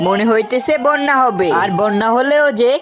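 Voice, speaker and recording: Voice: medium pitch, moderate pace, close to an everyday voice. An actor voicing an earnest fox husband and father in a children's cartoon, explaining things plainly. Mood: dejected, defensive. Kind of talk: Bengali children's cartoon voice acting.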